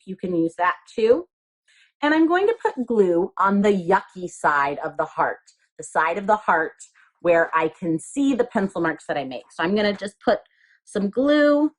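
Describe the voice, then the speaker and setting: A woman teacher leading young children step by step through a craft, explaining simply and patiently with repeated phrases and little questions. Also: medium pitch. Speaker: elementary school craft teacher.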